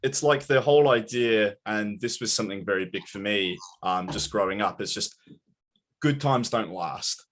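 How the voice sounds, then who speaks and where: A man's voice: on the low side, normal speed, fairly bright tone. A man talking earnestly and reflectively in a casual chat over a video call.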